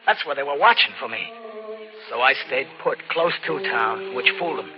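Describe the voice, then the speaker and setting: High-strung hunted drifter in old radio thriller. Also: male voice, lively intonation, normal speed. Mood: sly, grim. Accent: American. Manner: slangy, intense, melodramatic. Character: desperate hunted drifter turned killer, American man. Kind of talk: 1940s radio thriller acting, first-person narration. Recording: dull, very noisy old radio recording.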